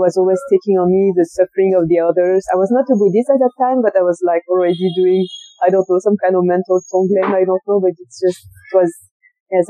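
A woman's voice: lowish voice, warm tone, no echo, rough recording. A young woman with a French accent, a novice Buddhist nun speaking as an interview guest in a roadside cafe, with a light, earnest manner as she searches for words. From France. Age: young adult. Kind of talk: conversational interview. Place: roadside cafe on a busy street.

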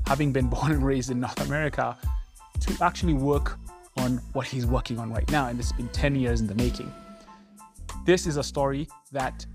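A man hosting a podcast interview, enthusiastic and energetic, with frequent restarts. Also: medium pitch. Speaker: podcast host.

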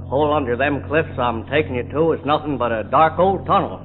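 An actor playing a plainspoken, gossipy middle-aged farmhand and ex-soldier with rustic country speech in a 1940s radio drama. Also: male voice, mid-range voice, fairly quick, lightly acted. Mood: folksy, chatty. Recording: tinny old radio recording, noisy hum.

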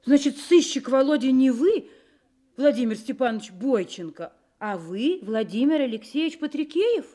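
An excitable lady full of admiration and curiosity. Her voice is fairly high. She speaks with the theatrical diction of a Soviet radio play. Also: moderate pace. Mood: curious, surprised.

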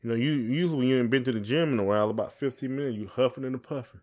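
A man's voice: mid-range voice, very fast, a little mellow. A man hosting a casual podcast chat, talking in an informal, colloquial way. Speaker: podcast host.

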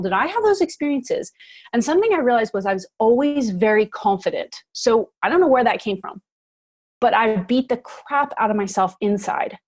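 A woman in her forties, a podcast guest, talking candidly and reflectively about her life in a casual interview. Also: a little high. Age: 40s. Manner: candid and reflective, quick bursts with laughs.

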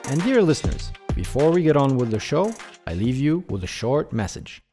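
A man's voice: mid-range voice, big pitch swings, normal speed, warm tone, soft background music. A man hosting a podcast, reading a scripted intro and then interviewing his guest with enthusiasm. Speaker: podcast host and coach.

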